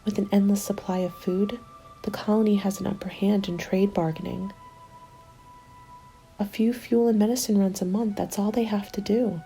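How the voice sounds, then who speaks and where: A woman playing a hopeful survivor of a zombie apocalypse in an ASMR roleplay, soft-spoken and often slipping into whispers. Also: mid-range voice, steady tone, normal speed, natural voice. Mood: wistful, relieved. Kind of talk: ASMR roleplay, intimate storytelling. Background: background music.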